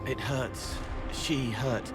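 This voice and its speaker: An actor playing a gentle, sorrowful young spirit man in a video game, speaking in soft, poetic fragments. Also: medium pitch, steady tone, drawn-out words, music in the background. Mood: mournful, empathic.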